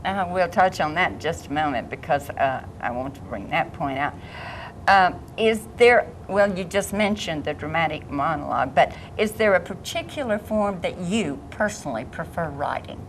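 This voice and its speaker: Lady interviewer asking poetry questions, friendly, slightly low voice, faint hiss. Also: animated, normal speed, low-quality recording. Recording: faint background noise.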